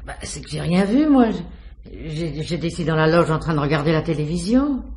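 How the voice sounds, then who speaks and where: Building caretaker, talkative, flustered, exclamatory, halting, old-style radio crime play. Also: female voice, sits low, sing-song, darkish tone. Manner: garrulous, exclamatory, hesitant. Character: chatty, flustered female building concierge. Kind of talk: French radio crime drama acting.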